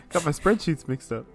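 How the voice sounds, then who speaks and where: A man chatting and bantering casually as a player in a tabletop roleplaying game. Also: fairly high pitch.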